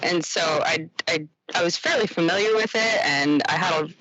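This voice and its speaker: A young woman from Quebec, guest on a podcast, talking casually with many restarts and soft laughs. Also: lowish voice, moderate pace, muffled recording, a little hiss or hum. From Quebec, Canada. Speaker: ironworker guest.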